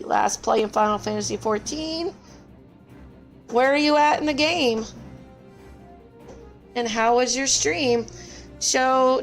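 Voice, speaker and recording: A woman live-streaming a game and chatting casually with viewers, her voice strained and scratchy, apologetic and full of fillers. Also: on the high side.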